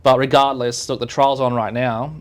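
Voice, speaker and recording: A man's voice: mid-range voice, flat delivery, normal speed, a little hiss or hum. An Australian man from South Australia hosting a casual podcast interview. He rambles, using lots of fillers and restarting his sentences. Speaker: podcast host.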